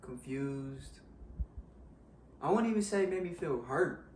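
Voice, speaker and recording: Young man, slightly raspy, candid casual chat on a couch, distant camera mic. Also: fairly high pitch, lively intonation, measured pace, darkish tone. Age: young adult. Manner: frank, hedging with "you know what I'm saying". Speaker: guest in a discussion. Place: living room, on a couch. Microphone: far camera. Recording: roomy sound from far off, faint hiss.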